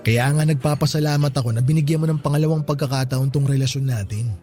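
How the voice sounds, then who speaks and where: A man's voice: mid-range voice, steady tone, quick pace, dark tone, faint music behind, natural voice. An actor playing an affectionate, grateful boyfriend and young father in a radio drama. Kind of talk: Tagalog radio drama acting.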